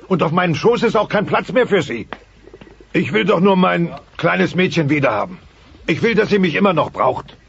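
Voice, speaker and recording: Blustering, creaky dinosaur dad. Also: male voice, high voice, lively intonation, moderate pace. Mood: wistful. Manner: pompous, theatrical, blustering. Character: mighty blustering dinosaur father, indignant yet sentimental. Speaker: voice actor. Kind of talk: German dubbed family sitcom audio play. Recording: dull cassette transfer with music.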